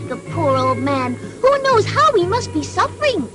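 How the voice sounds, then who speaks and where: High-pitched, naive little puppet boy. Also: sing-song, moderate pace, music in the background. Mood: hesitant. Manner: earnest, childlike, wide-eyed. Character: naive, boastful little wooden puppet boy, good-hearted. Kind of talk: dubbed vintage cartoon acting.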